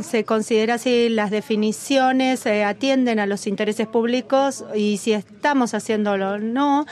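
A woman interpreting a formal meeting as it happens, in a measured, halting delivery that stops often to catch up with the speaker. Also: on the high side, a little mellow. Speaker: simultaneous interpreter at a policy meeting.

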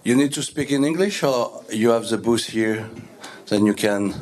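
A man with a French accent, a native French speaker, addressing a meeting in measured English as a guest board member. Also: mid-range voice, a little mellow.

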